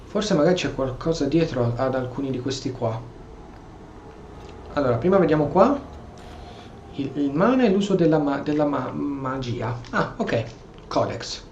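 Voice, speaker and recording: Higher-pitched Italian man commenting on gameplay. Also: animated, normal speed, long pauses, darkish tone, dull audio. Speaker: gaming streamer. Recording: echoey room, faint game noise.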